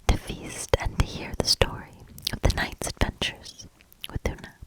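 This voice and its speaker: A woman doing soft ASMR storybook reading and quiet commentary in a breathy, gentle, hushed whisper. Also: rough recording. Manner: slow, gentle, hushed delivery.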